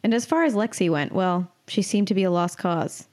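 A young woman in her twenties with a mid-pitched voice co-hosts a podcast. She sounds playful and casual, moving between self-mocking banter and reading her own comic writing. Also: moderate pace, a little mellow. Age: 20s. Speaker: podcast co-host and writer.

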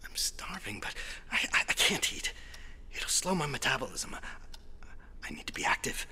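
A man's voice: medium pitch, sing-song, normal speed, pushed and bright, whispered. A man acting a desperate condemned doctor in an audio drama, muttering breathily to himself and stammering. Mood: anxious, calculating. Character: desperate condemned doctor on death row, plotting escape. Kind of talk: audio drama acting, whispered interior monologue.

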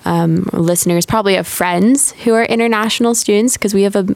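A woman's voice: mid-range voice, a little fast, gravelly, darkish tone, dry recording. A young woman hosting a campus podcast, chatting in a loose, encouraging way with many fillers. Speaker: podcast host.

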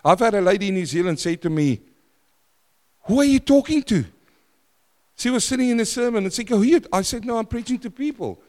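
A man preaching a sermon in a church, loud and emphatic, swinging from forceful declarations to hushed, quiet lines. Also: high voice, animated, a little fast, long pauses. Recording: long hall reverb.

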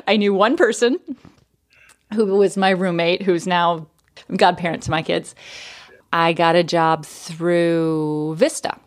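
A woman in her thirties from New England tells her life story as a podcast guest, with a slightly low voice. She talks in chatty, quick bursts and laughs easily. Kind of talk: casual interview storytelling.

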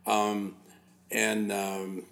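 An older man with a gravelly voice, chatting casually as an interviewer, repeating himself and dropping Spanish words into his English. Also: fairly low pitch, monotone, extremely slow, fairly bright tone. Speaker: interviewer, host.

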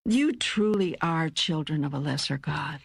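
God in a spiritual audiobook as a patient, all-knowing teacher. The delivery is slow and deliberate with weighty pauses. The voice is a little husky, in a dry, close studio sound. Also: female voice, low voice, animated, no echo, exaggerated voice. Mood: serene, assured. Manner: measured, deliberate, emphatic pauses. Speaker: audiobook narrator. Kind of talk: scripted audiobook reading of spiritual dialogue.

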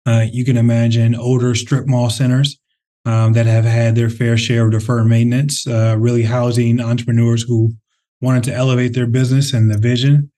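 A man from the Twin Cities, a company head, answering interview questions in a thoughtful, conversational way with frequent pauses and fillers. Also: medium pitch, level tone, mellow. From Minnesota, Twin Cities. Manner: thoughtful, many fillers and restarts. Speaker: real estate CEO, interview guest.

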